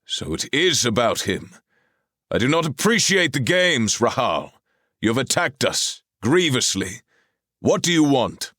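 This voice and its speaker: A man's voice: medium pitch, sing-song, unhurried, sharp tone, no room sound, clean studio sound, pitched above the performer's own voice, heavily acted. A man voicing a stern, commanding warrior king who speaks with firm authority. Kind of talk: audiobook character voice.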